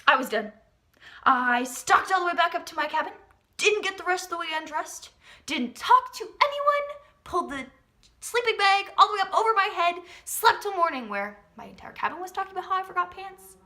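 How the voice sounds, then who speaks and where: A woman's voice: extremely high pitch, big pitch swings, fairly quick, bright, forceful tone. A young woman, telling a comedic personal story to an audience in a theatrical, emphatic way. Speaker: vlogger, storyteller. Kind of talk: dramatic comedic storytelling to camera.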